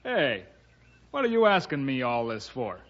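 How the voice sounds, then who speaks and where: An actor playing a defensive working-class builder with folksy, ungrammatical, wary speech in a radio western. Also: male voice, medium pitch, very animated, unhurried, fairly bright tone. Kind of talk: old-time radio western acting. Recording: dull vintage radio recording.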